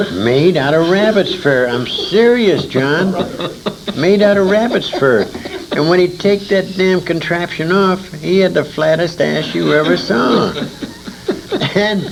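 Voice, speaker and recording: A man's voice: on the high side, expressive, slow pace, gravelly. An older American man telling anecdotes in casual conversation, salty and emphatic, repeating phrases for effect. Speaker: interviewee, war veteran and old-time radio performer. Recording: noisy informal interview recording.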